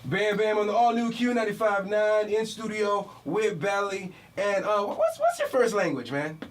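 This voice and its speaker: A man's voice: sits high, sing-song, moderate pace, distant mic, noisy background. A man hosting a casual radio interview in a studio, talking in hyped, slangy banter. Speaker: radio host.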